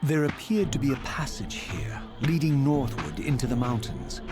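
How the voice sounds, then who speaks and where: A man's voice: medium pitch, sing-song, moderate pace, close to an everyday voice. A man playing a proud, vengeful undead wraith, narrating in grave, formal, theatrical diction for a video game. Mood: brooding, intrigued. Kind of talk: dramatic scripted game narration. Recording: music behind the voice.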